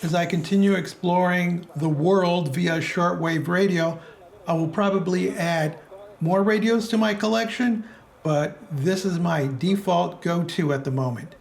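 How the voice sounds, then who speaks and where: A man's voice: high-pitched, measured pace, creaky. A man presenting a product review as narration.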